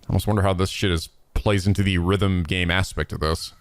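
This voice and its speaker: A man's voice: sits low, fairly even intonation, a little fast. A man hosting a let's play, giving casual reaction commentary in a sweary, incredulous, jokey way. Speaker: let's play video host. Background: game cutscene music.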